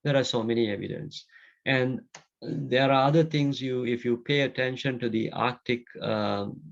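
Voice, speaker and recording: A man's voice: medium pitch, moderate pace, gravelly. A middle-aged man from Sri Lanka answering student questions on a video call, talking conversationally and thinking aloud with restarts and fillers. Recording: dull lo-fi video call audio.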